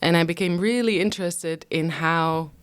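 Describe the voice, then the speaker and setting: A woman's voice: fairly low pitch, normal speed, clear, resonant tone. A Dutch-native woman in her thirties, a researcher answering interview questions in English, thoughtful and full of ums. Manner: thoughtful, many ums, careful explaining. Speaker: guest researcher.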